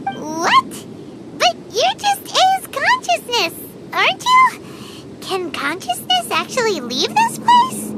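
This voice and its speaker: Tiny chirpy fairy girl, extremely high squeaky voice, swooping intonation, eager game companion, loud background noise. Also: wildly swooping pitch, takes it slowly, heavily acted. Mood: surprised. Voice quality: squeaky, childlike. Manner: perky, exclamatory. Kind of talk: video game character voice acting.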